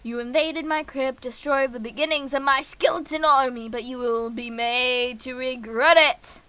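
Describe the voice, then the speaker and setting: A woman's voice: sits high, fairly even intonation, normal speed, sharp tone, clear, resonant tone, no echo. A woman voicing a menacing, grandiose villain from a visual novel, pompous and threatening. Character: menacing, grandiose villain plotting with an undead skeleton army.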